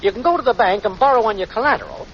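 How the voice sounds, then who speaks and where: An actor playing a lazy, slick small-time schemer boyfriend in a 1940s radio sitcom, slangy, glib and cajoling. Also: male voice, high voice, animated, very fast, a bit bright. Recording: noisy, muffled old radio recording.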